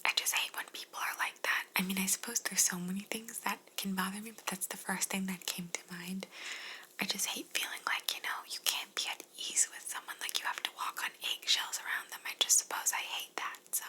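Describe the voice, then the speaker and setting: A woman speaking in a breathy whisper, chatting casually and gently as she answers questions in an ASMR style. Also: mid-range voice, steady tone, a little fast, poor audio. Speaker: ASMR video creator answering viewer questions.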